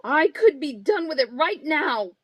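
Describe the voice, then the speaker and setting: A woman's voice: sits high, very animated, a little slow, no room sound. A woman playing a cold, cutting, condescending middle-aged wife in a live radio drama reading. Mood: scornful. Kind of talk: live reading of an old-time radio script.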